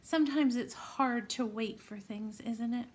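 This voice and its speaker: A woman's voice: a little high, unhurried, slight background noise. A woman reading a storybook aloud to young children, encouraging them and putting questions to them directly as friends. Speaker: children's read-aloud presenter.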